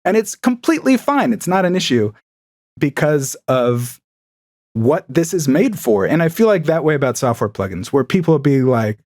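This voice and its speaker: A man's voice: on the high side, sing-song, normal speed, darkish tone, no echo. Man, podcast guest, enthusiastic, chatty, home recording room, dry sound. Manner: enthusiastic, chatty, with frequent fillers. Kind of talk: casual chat.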